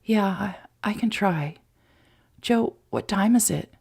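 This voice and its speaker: A woman reading an injured, anxious young woman who speaks softly and often near a whisper. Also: mid-range voice, takes it slowly, vocal fry, breathy edge, pitched above the performer's own voice, full character voice. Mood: hurt, anxious. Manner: soft, strained, often whispery.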